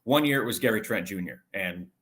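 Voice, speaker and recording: A man's voice: fairly low pitch, animated, brisk, fairly bright tone. A man working as a sports broadcaster, giving detailed conversational analysis as an interview guest in stop-and-start phrases.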